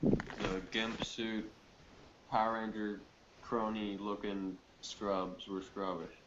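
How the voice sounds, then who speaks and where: A man's voice: on the low side, level tone, slow pace. A young man giving blunt, slangy opinions in a casual TV review chat, on a muffled, noisy room recording. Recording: roomy muffled mic, clear noise.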